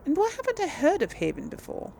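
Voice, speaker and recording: A woman's voice: sits high, huge pitch swings, quick pace, gravelly, dark tone, a little hiss or hum. A woman giving casual game commentary, musing aloud as she plays and role-plays dialogue. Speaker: gamer, series host.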